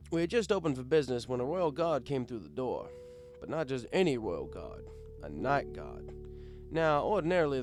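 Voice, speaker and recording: A man narrating a comic first-person story in a wry, expressive, conversational storyteller's way. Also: mid-range voice. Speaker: audiobook narrator.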